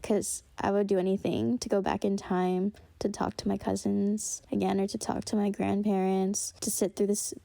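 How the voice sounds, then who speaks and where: A woman's voice: medium pitch, level tone, moderate pace, creaky, hardly any pauses, dark tone, no echo. A young woman reflecting on her family heritage in a wistful, unscripted way, full of fillers and restarts. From United States. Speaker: storyteller sharing her family history.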